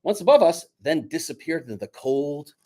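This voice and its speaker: A man reading a picture book aloud dramatically to children, pausing to coax them with questions like a teacher. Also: fairly high pitch, very animated, dark tone. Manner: dramatic, teacherly, coaxing young listeners with questions.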